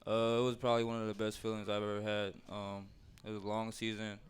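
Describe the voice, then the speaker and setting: A young man from Minnesota, a college basketball player, answering interview questions in a laid-back, soft-spoken way. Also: fairly low pitch, monotone, fast, smooth. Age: young adult.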